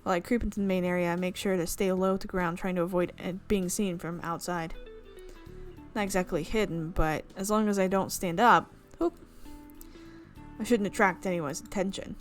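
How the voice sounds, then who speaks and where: A woman's voice: on the low side, fairly even intonation, normal speed, faint music behind. Woman reading a game aloud, stumbling over words. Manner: reads narration with frequent slips and self-corrections. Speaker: gaming video host. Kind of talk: reading a visual novel aloud.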